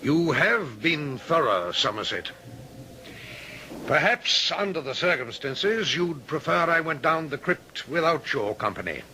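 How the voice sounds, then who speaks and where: A haughty, animated villainous doctor. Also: male voice, on the high side, measured pace, full character voice. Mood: sardonic. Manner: haughty, theatrical. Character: arrogant, smooth-talking disreputable doctor of gothic mystery. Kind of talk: old-time radio drama acting. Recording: noisy old radio drama recording.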